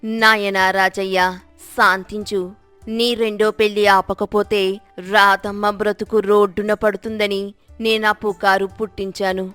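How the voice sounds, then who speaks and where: A woman's voice: medium pitch, flat delivery, unhurried, fairly bright tone, smooth, no room sound, faint music behind, close to an everyday voice. Woman acting theatrical older village gossip. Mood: pleading, remorseful. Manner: theatrical, wheedling, exclamatory. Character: sly village gossip older woman, dramatic, later contrite. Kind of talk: acted moral story dialogue.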